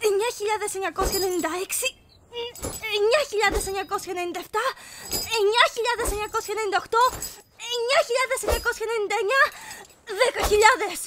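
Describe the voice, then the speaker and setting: A woman's voice: very high voice, steady tone, noisy background. A woman voicing an eager, earnest young boy squire who looks up to his general. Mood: focused. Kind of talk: fan dub of an animated short.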